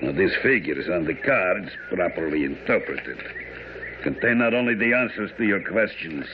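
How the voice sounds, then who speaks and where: An actor playing a guarded, mysterious Romany camp leader in a radio drama, curt, cryptic and solemn. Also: male voice, deep voice, animated, normal speed. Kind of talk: 1970s radio drama acting. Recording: muffled, noisy old radio recording.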